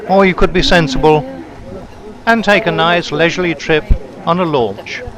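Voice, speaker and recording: A man reading a scripted travelogue voice-over in a measured, descriptive storytelling way. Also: a little high, fairly even intonation, crowd noise. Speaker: travelogue narrator. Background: background music.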